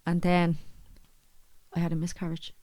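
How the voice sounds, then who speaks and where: A woman's voice: lowish voice, completely flat delivery, moderate pace, dark tone, dry recording. An Irish woman with a Dublin accent, casually telling personal stories in a rambling, colloquial way. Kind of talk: casual chat, anecdotes.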